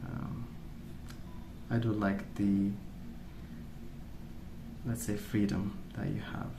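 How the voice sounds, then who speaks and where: A man speaking softly in a hushed, unhurried ASMR ramble, musing as he goes. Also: on the low side, level tone, gravelly, roomy sound, background noise. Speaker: ASMR video host. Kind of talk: soft-spoken ASMR rambling while colouring.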